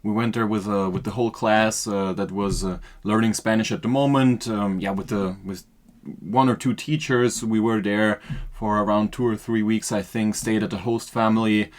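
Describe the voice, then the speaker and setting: A man, his voice sitting on the low side. He talks as a podcast guest, relaxed and rambling, full of fillers and restarts. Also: normal speed. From Austria. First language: German. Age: 20s. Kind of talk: casual podcast interview.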